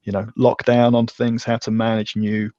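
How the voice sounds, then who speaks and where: A man's voice: mid-range voice, monotone, moderate pace, darkish tone, dry recording, muffled recording. A man explaining technical subjects conversationally as an interview guest, talking in a discursive way. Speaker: podcast guest, technical architect.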